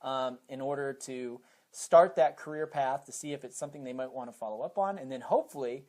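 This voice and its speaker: A man's voice: medium pitch, brisk, a little mellow. A man with an American accent, a teacher being interviewed, who explains things step by step with examples. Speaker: high school teacher and program coordinator, interview guest.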